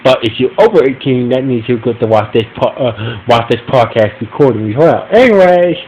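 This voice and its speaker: A man's voice: mid-range voice, fairly quick, a little mellow, muffled recording, a little hiss or hum. A man with a stuffy nose hosting a casual podcast, recapping an episode in a rambling way that repeats phrases and keeps restarting sentences. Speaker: podcast host reviewing an episode. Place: room at home with a fan running. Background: humming fan.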